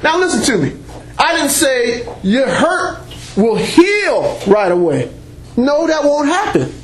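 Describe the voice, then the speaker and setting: A preacher with a strikingly high voice teaches a congregation without a microphone, loud and emphatic. He punctuates his points with call-and-response exclamations of praise. His unamplified voice rings around an echoey church room, with faint background hiss. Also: very animated, slow. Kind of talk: sermon-style teaching to a congregation. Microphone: unamplified voice picked up by a room mic.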